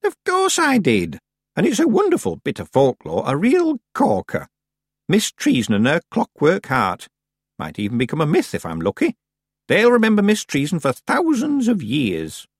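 Man putting on a shrill, bossy ancient woman. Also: huge pitch swings, normal speed, no room sound, studio quality, exaggerated voice. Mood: gleeful, proud. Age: over 100. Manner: imperious, theatrical scolding. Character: proud, bossy, mischievous very old witch woman.

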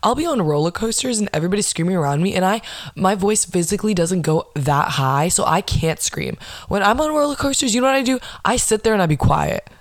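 A young woman hosting a podcast, ranting casually with lots of swearing and sarcastic mock quotes, with a low, non-nasal voice. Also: animated, brisk, dry recording, studio quality. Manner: sarcastic, swears a lot, acts out mocking quotes. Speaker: podcast host.

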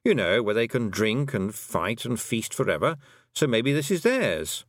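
A man voicing a detached, pedantic talking toad with a deadpan manner in an audiobook. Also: medium pitch, a little slow, no echo, studio quality. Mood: matter-of-fact.

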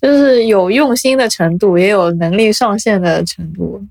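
A woman's voice: medium pitch, moderate pace, gravelly, darkish tone, no room sound. A woman hosting a casual podcast chat, giving brief responses and follow-up remarks. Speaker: podcast host.

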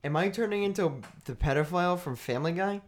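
A man hosting a podcast in casual chat, playfully argumentative and animated, talking into a studio mic. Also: high-pitched, quick pace. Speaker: podcast host.

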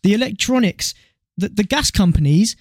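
A man's voice: high-pitched, lively intonation, normal speed, no room sound, clean studio sound. A young British man co-hosting a podcast, chatting casually in a slangy, sweary, opinionated way. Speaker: podcast co-host.

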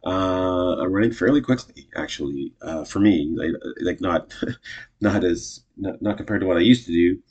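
A man's voice: low-pitched, moderate pace, a little mellow, husky, dull audio. A man in his fifties talking casually to camera, rambling and correcting himself often in an upbeat way. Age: 50s. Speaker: vlogger.

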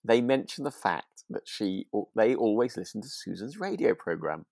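A man's voice: fairly low pitch, very animated, normal speed, gravelly, darkish tone, no room sound, clean studio sound. A British man co-hosting a podcast, chatting casually in an informal, musing and slightly hesitant way. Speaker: podcast co-host.

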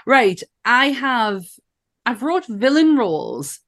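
A woman's voice: a little high, big pitch swings, takes it slowly, fairly bright tone. A woman from northern England with a northern accent, co-hosting a podcast in enthusiastic, casual chat, swearing and laughing often. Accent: northern English. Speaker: podcast co-host.

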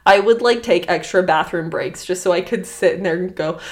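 A woman's voice: fairly low pitch, a little fast. A young woman co-hosting a podcast in casual comedic chat, slangy and sweary, exclaiming and acting out quips. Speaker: podcast co-host.

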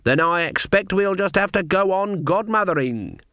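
A man voicing a sharp, stubborn old female witch with rustic, plain-spoken speech in a storybook reading. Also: sits high, animated, normal speed, no echo, studio quality, pitched above the performer's own voice. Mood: stern, firm.

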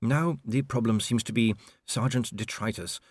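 A man's voice: medium pitch, moderate pace, husky, no room sound, clean studio sound, voice pushed up. A man voicing a fussy, anxious diplomatic clerk in an audiobook, hesitant, careful and deferential. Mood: hesitant, cautioning.

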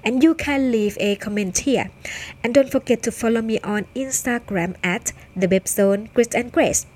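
A woman's voice: a little high, normal speed, a little mellow. A woman from Thailand with a Thai accent, hosting her own podcast in an upbeat, chatty way. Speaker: podcast host. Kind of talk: casual solo podcast chat.

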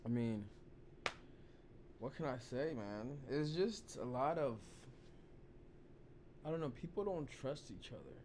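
A man hosting his own vlog, hoarse from losing his voice, rambling loosely in casual talk full of "you know" and "like". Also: medium pitch, big pitch swings, measured pace, long pauses, background noise. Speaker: vlog host. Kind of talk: casual opinion talk to camera.

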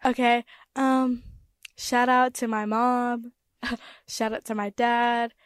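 A woman's voice: on the high side, level tone, takes it slowly, smooth, dry recording. Chatty teenage girl, dry close sound. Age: teens. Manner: chatty, frequent "like" and "um". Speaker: guest, high school senior. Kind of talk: casual interview chat.

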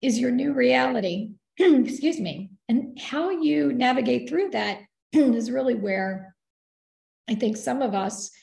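A woman speaks in a gravelly, mellow tone, losing her voice and clearing her throat often. She talks earnestly and steadily, and her voice rings out in a roomy, echoing space. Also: a little high. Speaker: guest. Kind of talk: conversational interview.

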